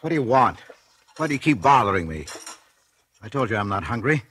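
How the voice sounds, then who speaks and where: A man's voice: medium pitch, very animated, brisk, fairly bright tone. An actor playing a bitter, brooding middle-aged man accused of murder, with a sardonic manner, in 1940s radio drama style. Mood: irritable, weary. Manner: sardonic, mocking. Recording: husky-sounding vintage 1940s radio recording.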